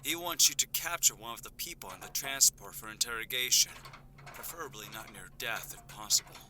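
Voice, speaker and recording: A man's voice: mid-range voice, fairly quick, bright, forceful tone, slight background noise. An actor playing a curt, menacing crime syndicate henchman in an audio drama, stern and condescending. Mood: stern, businesslike.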